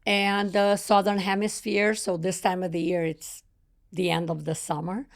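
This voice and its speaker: A woman's voice: medium pitch, little pitch movement, moderate pace. A middle-aged woman from Brazil with a Brazilian accent, chatting unhurriedly as a podcast guest and sometimes phrasing things like a non-native speaker. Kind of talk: casual conversation.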